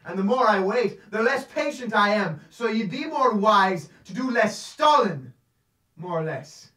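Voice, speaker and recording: An actor playing a mean, pious outlaw gunslinger with a taunting manner in a western audio drama. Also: male voice, high voice, lively intonation, measured pace, sharp tone, no echo, full character voice. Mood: menacing.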